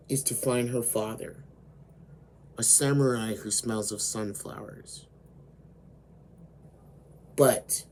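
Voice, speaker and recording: A man with a hoarse voice, slowly retelling an anime story as host of his own channel. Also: mid-range voice, darkish tone. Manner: slow, deliberate storytelling with frequent fillers.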